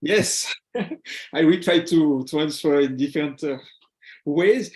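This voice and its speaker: A man answering as a panel guest, haltingly, searching for his words. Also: fairly high pitch, darkish tone. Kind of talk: answering questions in a discussion. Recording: echoey room sound.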